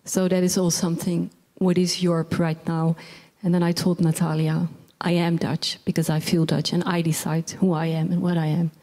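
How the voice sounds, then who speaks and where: A woman's voice: on the low side, little pitch movement, normal speed, hardly any pauses, warm tone. A woman born in Pakistan and living in the Netherlands, hosting a public evening and giving earnest, plainspoken closing remarks. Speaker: event host. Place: lecture hall with an audience. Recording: hall reverb, crowd in the room.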